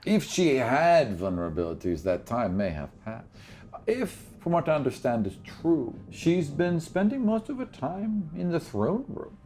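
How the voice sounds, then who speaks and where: A man's voice: on the high side, sing-song, unhurried, a little mellow, pitched above the performer's own voice. A man voicing an elderly elven grandmother in a fantasy tabletop game, doting yet imperious and world-weary, with genteel, fussy phrasing. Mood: shrewd. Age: very old.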